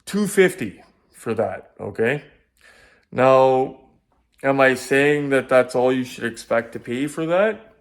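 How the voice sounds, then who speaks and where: A Canadian man speaks in a medium-pitched voice as he hosts a casual collectibles review. He addresses viewers directly and sounds keen on the subject. He often repeats phrases to drive a point home. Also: animated, slow, vocal fry. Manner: enthusiastic, repeats phrases for emphasis. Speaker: collectibles video host.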